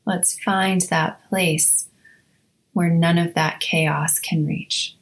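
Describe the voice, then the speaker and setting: A woman hosting a guided meditation, speaking in a soothing, measured way with long pauses between short phrases. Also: fairly low pitch, steady tone, rough recording. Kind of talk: slow guided meditation narration. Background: instrumental music.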